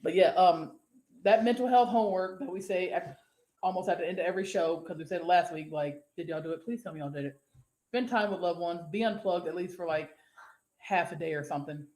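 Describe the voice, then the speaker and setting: A woman's voice: on the low side, fairly quick, darkish tone. A woman co-hosts a casual live-stream show. She reads viewer comments aloud and rambles between them, swearing freely and laughing easily. Her voice rings in an echoey room. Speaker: co-host.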